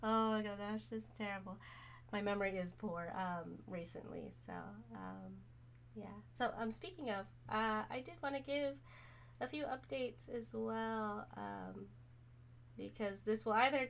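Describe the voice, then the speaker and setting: A woman streamer chatting casually to camera, hoarse from being sick, rambling with many pauses and fillers. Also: mid-range voice, slight background noise. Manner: rambling, many ums, soft-spoken. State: hoarse, recovering from illness.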